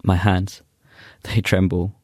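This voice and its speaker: Deep, flat-voiced young man. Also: no echo, studio quality. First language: Urdu. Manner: earnest, halting with many fillers. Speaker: poet guest. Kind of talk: casual chat and spoken-word poetry recital.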